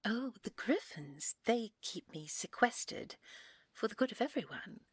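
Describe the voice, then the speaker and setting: Fairy aristocrat, played by a woman: prim, vain, naive, lilting and breathy, close dry audio roleplay sound. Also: a little high, big pitch swings, a little slow, no room sound, in a whisper, heavily acted. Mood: polite, flustered. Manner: prim, fluttery, hesitant politeness.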